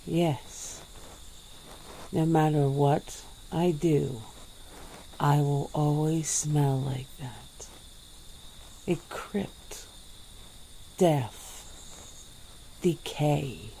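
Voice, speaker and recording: A woman voicing an ancient, weary female vampire in a soft-spoken ASMR roleplay, with low chuckles. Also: very slow, vocal fry, pauses often, slight background noise, heavily acted, poor audio.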